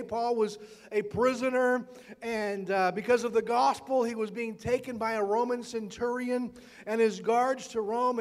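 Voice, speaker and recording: An older man preaching a sermon as a pastor, earnest and emphatic, drawing out his key words. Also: extremely high pitch. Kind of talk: sermon for an online church broadcast. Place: church stage in a large auditorium. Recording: long hall reverb.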